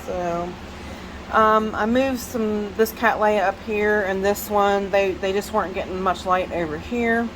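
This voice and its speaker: A woman's voice: mid-range voice, measured pace, noisy background. A woman with a Southern US accent and a stuffy head cold, rambling casually with many fillers as she walks through her plant shelves. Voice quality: nasal when bending over. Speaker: orchid grower giving a plant tour. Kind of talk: casual walk-through vlog narration.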